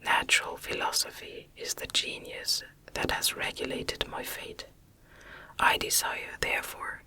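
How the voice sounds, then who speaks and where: A woman whispers a literary narration in ASMR style. The whisper is fully breathy and unvoiced, with a bright, airy edge. Her storytelling is soft and measured. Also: rough recording. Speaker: ASMR audiobook reader. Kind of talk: whispered ASMR reading of a classic novel.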